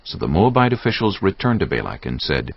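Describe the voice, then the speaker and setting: A man with an extremely deep, gravelly voice narrates scripture in a dramatized, scripted style. His intonation moves widely. The audio is slightly dull, with soft music beneath. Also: sing-song, brisk, dry recording.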